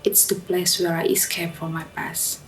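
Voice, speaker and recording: A woman's voice: lowish voice, flat delivery, faint music behind, natural voice, low-quality recording. A woman playing a melancholic young woman haunted by her past, speaking a brooding, dramatic radio drama monologue. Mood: sorrowful, bitter. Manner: slow, brooding, dramatic delivery.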